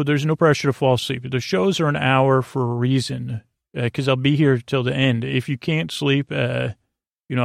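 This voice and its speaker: Creaky-voiced man rambling at bedtime. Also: medium pitch, no echo, clean studio sound. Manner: meandering and halting, drifting into absurd tangents. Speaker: podcast host. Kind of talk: rambling, low-stakes bedtime monologue meant to lull listeners to sleep.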